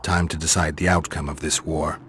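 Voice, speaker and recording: An actor playing a stoic monster hunter in a video game, low and gravelly, with a laconic, deadpan, dry delivery. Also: male voice, brisk, a little hiss or hum. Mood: resolute.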